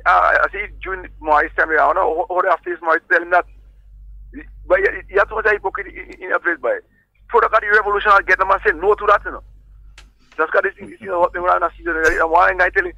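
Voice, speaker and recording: A man's voice: high voice, gravelly, sharp tone, dry recording. A man calling in, rambling and heated, repeating himself as he rants about politics. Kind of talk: phone-in political rant. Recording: tinny phone line.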